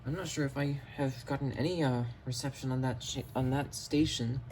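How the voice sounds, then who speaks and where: A man's voice: mid-range voice, steady tone, brisk, darkish tone, a little hiss or hum, rough recording. A man giving a casual hands-on product demonstration on a home camera recording. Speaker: video host, hobbyist collector. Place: indoors in a home basement.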